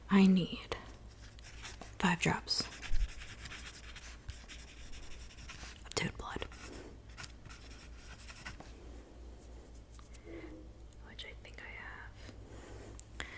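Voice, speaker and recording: Girl doing a witch in her cabin, asmr roleplay, all whispered n breathy, slow and close, lowish. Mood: soft, businesslike. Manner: unhurried, intimate, addressing the listener as a client. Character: soft-spoken witch mixing love potions in her cabin.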